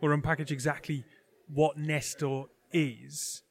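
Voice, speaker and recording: A man's voice: a little high, little pitch movement, measured pace, vocal fry. Man hosting with jokey banter. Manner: jokey banter, then friendly questioning. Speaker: podcast host. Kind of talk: casual podcast chat and interview.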